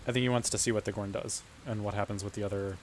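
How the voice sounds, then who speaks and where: A man's voice: on the low side, steady tone, racing. Man chatting at a noisy game table. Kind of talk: casual game-table chat. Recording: clear background noise.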